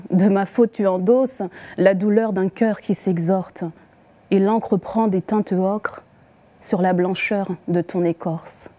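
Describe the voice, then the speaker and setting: Woman reading poetry, really mellow dark voice, slow n deliberate, expressive pauses between phrases. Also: mid-range voice. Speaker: poet reading her own poems. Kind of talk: poetry recitation.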